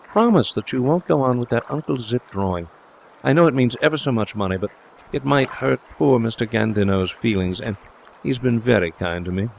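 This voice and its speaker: A man voicing a gentle, soft-hearted young woman in an audiobook, speaking in an earnest, pleading way. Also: mid-range voice, a little fast, gravelly, slight background noise, voice pushed up, natural voice, low-quality recording. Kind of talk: audiobook character dialogue.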